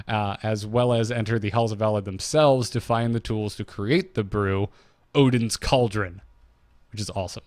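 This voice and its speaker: A man's voice: lowish voice, fairly even intonation, moderate pace, darkish tone, dry recording. A man co-hosting a podcast, talking informally and with enthusiasm as he recaps and discusses game lore as a fan. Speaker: podcast co-host.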